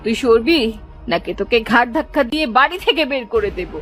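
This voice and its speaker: A woman's voice: a little high, expressive, a little fast, fairly bright tone, faint music behind. A woman playing a cruel, bullying aunt in a cartoon tale, scolding and threatening with sarcastic commands. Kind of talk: animated moral tale voice acting.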